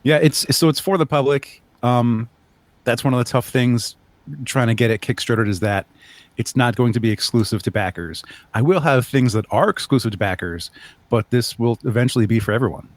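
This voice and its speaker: A man's voice: medium pitch, fairly quick, no echo. A man being interviewed as a creator, talking casually and explaining things in an earnest way. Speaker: guest, audio drama creator.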